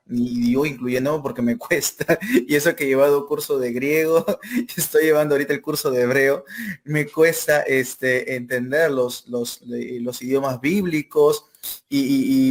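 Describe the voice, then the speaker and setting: A Peruvian man with an average-pitched voice hosts a casual live interview. He is courteous and somewhat hesitant, circling back and repeating phrases as he builds each question. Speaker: interview host.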